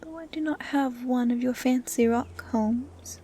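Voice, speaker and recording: A woman voicing a gentle, curious female dryad who guards the woods, speaking softly and often in a whisper in a roleplay audio drama. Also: high voice, slight background noise. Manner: soft, often whispery, naive and measured. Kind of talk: roleplay audio drama, soft intimate acting.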